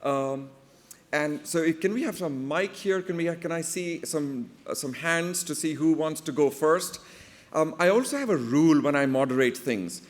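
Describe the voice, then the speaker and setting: Highish-voiced male moderator in a hall. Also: expressive. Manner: direct, brisk instructions to audience. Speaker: panel moderator. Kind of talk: informal unscripted moderating. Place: conference hall with audience. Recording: reverberant hall sound.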